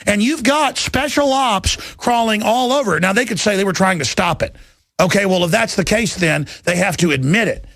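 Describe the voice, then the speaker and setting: A man's voice: high voice, normal speed, a bit bright, no room sound. A man hosting a talk radio show, ranting off the cuff in an agitated, emphatic, rambling way, often repeating words. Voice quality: gravelly. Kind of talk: impassioned unscripted talk radio rant. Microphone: close studio broadcast microphone.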